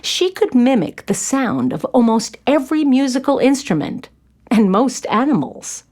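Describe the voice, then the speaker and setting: A woman's voice: high voice, very animated, moderate pace, a little mellow. A woman narrating a scripted story for children.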